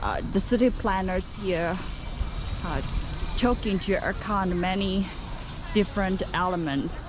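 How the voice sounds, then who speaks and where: Slow-talking young woman with a Cantonese accent. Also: medium pitch. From southern China. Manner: chatty, musing, trailing fillers. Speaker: travel vlogger. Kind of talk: casual travel vlog narration. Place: outdoors on city streets. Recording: outdoor street noise with traffic.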